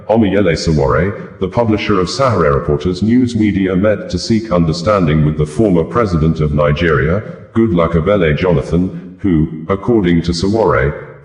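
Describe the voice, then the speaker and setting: A man reading scripted news in a formal, deliberate way. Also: low-pitched, a little mellow, reverberant. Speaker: news reader.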